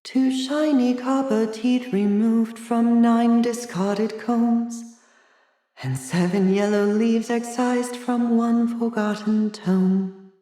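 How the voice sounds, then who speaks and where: A mad, haughty lady doctor from a video game, shut away in an asylum. She speaks slowly and theatrically in learned phrases, swinging between whispers and shrill outbursts. The sound is roomy and echoing, set back from the microphone. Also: fairly even intonation, warm tone, recorded from a distance, faint music behind. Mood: eerie, singsong reciting. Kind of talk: dramatic video game character acting.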